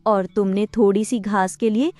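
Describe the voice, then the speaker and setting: A woman's voice: medium pitch, steady tone, moderate pace, mellow, close to an everyday voice. A woman voicing a mother goat in a Hindi cartoon who argues back against an unjust king. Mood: bitter. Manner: pleading, then defiant and cursing. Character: wronged mother goat, proud and defiant.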